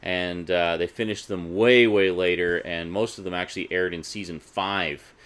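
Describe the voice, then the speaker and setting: A man's voice: deep voice, fairly even intonation, normal speed, fairly bright tone, clean tone. A man hosting a casual, unscripted collector video, talking in a chatty, informal way with conversational asides. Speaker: video host reviewing a DVD collection.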